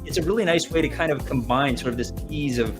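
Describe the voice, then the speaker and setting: A man's voice: fairly high pitch, fast talker. A man co-hosting an online music show in casual chat, rambling through explanations with many restarts and chuckles. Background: music playing.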